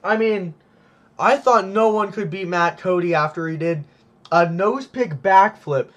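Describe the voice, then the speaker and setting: A man commentating on a simulated monster truck event in an excited play-by-play style, hyped and exclaiming often, as his voice starts to go. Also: high-pitched, a little slow. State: losing his voice.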